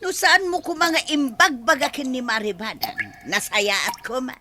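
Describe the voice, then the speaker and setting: A woman's voice: high-pitched, moderate pace, bright, forceful tone, a little hiss or hum. A woman playing a gossipy village woman in an Ilocano comedy drama, whiny, exclamatory and protesting. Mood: indignant.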